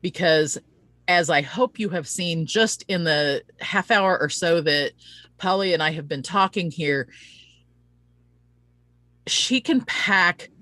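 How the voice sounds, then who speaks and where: A woman's voice: fairly low pitch, a bit bright, dry recording. Chatty, earnest woman host, dry close sound. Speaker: host of an online interview show. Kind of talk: casual promotional live-stream chat.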